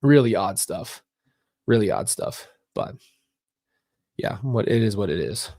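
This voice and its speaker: A man giving a personal, somber commentary on a livestream, speaking haltingly and often repeating phrases. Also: fairly low pitch, lively intonation, a little mellow, no echo. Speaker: documentary reaction host.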